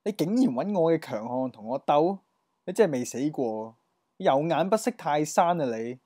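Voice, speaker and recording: A man's voice: on the high side, expressive, moderate pace, dark tone, no echo, studio quality. A man with a Hong Kong accent playing a melodramatic, boastful, lovestruck gang boss in a comic radio drama. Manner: melodramatic, swaggering. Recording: music under the voice.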